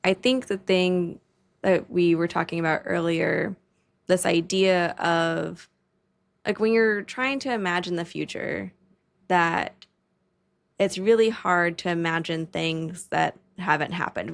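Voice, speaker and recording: A woman's voice: lowish voice, steady tone, takes it slowly, vocal fry. A woman hosting a podcast, chatting casually and thinking aloud in a reflective way. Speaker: podcast host. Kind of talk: casual interview chat.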